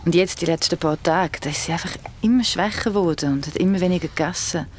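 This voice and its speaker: A woman's voice: fairly low pitch, fast talker, a little hiss or hum, natural voice. A woman playing an understated, bereaved friend with a Swiss German accent in colloquial audio drama dialogue. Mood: subdued, accepting. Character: bereaved friend, quietly accepting a grandmother's death.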